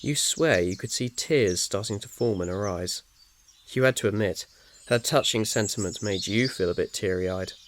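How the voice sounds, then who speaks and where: Casting: male ASMR storyteller, lower register, calm measured scripted narration over faint outdoor ambience. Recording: faint noise, nature ambience behind. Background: birdsong, insects, nature ambience.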